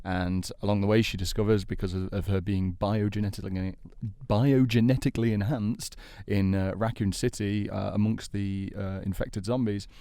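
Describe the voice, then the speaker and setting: A man's voice: lowish voice, steady tone, normal speed, few pauses, warm tone, dry recording, clean studio sound. A man hosting a podcast debate, presenting in a conversational way.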